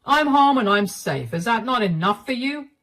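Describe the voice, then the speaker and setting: A woman's voice: fairly high pitch, lively intonation, moderate pace, a bit bright, pitched above the performer's own voice. A woman voicing a cold, dismissive middle-aged husband who talks down bluntly. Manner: condescending, blunt.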